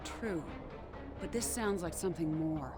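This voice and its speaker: A woman's voice: lowish voice, measured pace, loud music behind the voice, natural voice. An actress voicing a young woman with an American accent, a hero's teasing but concerned confidante in a video game. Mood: teasing, casual.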